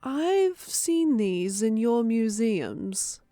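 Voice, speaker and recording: A woman's voice: fairly high pitch, sing-song, very slow, dark tone, no room sound, clean studio sound, faint music behind, heavily acted. A woman voicing a haughty, easily offended nonhuman lady with prim, formal phrasing in an audiobook. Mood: dry, wary. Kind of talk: audiobook character acting.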